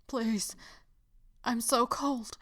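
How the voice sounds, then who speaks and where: A woman playing a frightened, freezing young woman in an audio drama, pleading haltingly. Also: a little high, fairly even intonation, a bit bright, heavily acted. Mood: weak, pleading.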